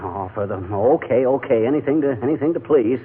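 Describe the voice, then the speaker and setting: A man's voice: medium pitch, expressive, fairly quick, close to an everyday voice. An actor playing a blustery, flustered but good-hearted everyman husband in 1940s radio comedy, folksy and given to stammering. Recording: muffled, noisy 1940s radio recording.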